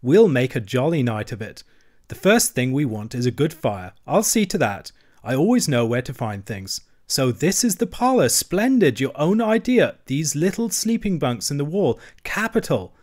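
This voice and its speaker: A man voicing a cheerful, bustling male water rat who talks in a brisk, hearty, encouraging way. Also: a little high, big pitch swings, darkish tone. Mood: cheery.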